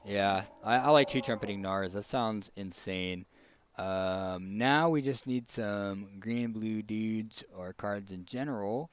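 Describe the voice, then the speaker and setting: A man with a raspy, hoarse voice casually commenting on a card game as he picks cards, thinking aloud with fillers and restarts. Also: lowish voice, takes it slowly. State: hoarse, tickly throat. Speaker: streamer.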